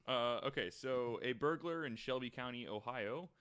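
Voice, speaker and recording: A man's voice: mid-range voice, normal speed, fairly bright tone, smooth. A man co-hosting a podcast, reading out short funny items and chatting about them in a casual, wry, sweary way. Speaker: podcast co-host.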